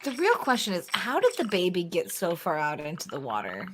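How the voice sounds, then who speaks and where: Lively, wry woman with swooping intonation. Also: lowish voice, brisk, noisy background. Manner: playful, wry, enthusiastic. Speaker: co-commentator on a speedrun stream. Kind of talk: casual playful banter.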